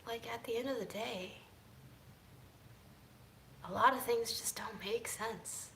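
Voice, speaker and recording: A tired woman vlogging casually to camera, musing in a drifting way that moves between soft and emphatic. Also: fairly high pitch, takes it slowly, reverberant, slight background noise. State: fatigued. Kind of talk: casual reflective chat to camera.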